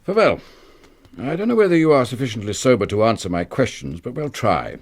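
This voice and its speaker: A sceptical English colonel who sits as magistrate, in a radio play. His medium-pitched voice is authoritative and expressive, with lively rises and falls and a dry, sarcastic edge. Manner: measured, dryly sarcastic, authoritative. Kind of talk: radio drama acting.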